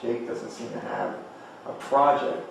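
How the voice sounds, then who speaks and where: Gravelly man in a very echoey room. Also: fairly low pitch, fairly even intonation, measured pace. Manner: tentative, reasoning aloud. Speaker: participant in play reading discussion. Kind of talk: casual analytical group discussion. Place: echoey room, group table read. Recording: echoey, noisy room, distant mic.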